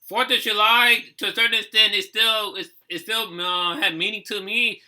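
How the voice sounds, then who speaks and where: Give this voice to a man in his thirties from the United States. It is high and very sharp in tone. He delivers casual, impassioned commentary as a video host, repeating phrases and swearing bluntly. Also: fairly even intonation, moderate pace. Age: 30s. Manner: repetitive, outspoken, frequent swearing.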